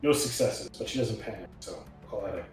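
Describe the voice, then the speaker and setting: A man's voice: fairly low pitch, a little fast. A man chatting casually as a player in a tabletop roleplaying game, hesitant and thinking aloud. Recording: very echoey room, music behind.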